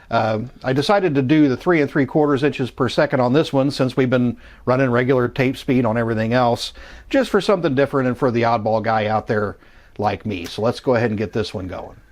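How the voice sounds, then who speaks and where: A man's voice: medium pitch, darkish tone. A man hosting a casual hands-on gear demo, chatting informally as he talks through each step. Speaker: host of a vintage electronics channel.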